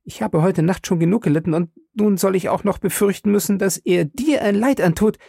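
A man voicing a distraught young woman who pleads in high cries in a read-aloud story. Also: brisk, creaky, mellow, slightly rough, no echo, studio quality, heavily acted. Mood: pleading. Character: distraught young wife, terrified and sobbing.

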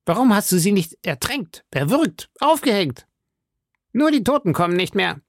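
A man voicing a boisterous, hot-headed musketeer with a loud, exclaiming manner in an audiobook story. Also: sits high, lively intonation, normal speed, gravelly, dry recording, studio quality, voice pushed up. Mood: exasperated.

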